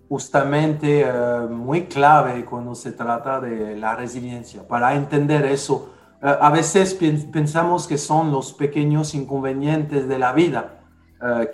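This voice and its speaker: A French-accented man hosts a relaxed panel conversation, picking his way through ideas with frequent pauses and restarts. His voice sits at an ordinary pitch. The room around him rings with a noticeable echo. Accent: French, with Dominican touches. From France. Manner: hesitant, many fillers and restarts. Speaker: podcast host. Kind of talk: casual panel discussion.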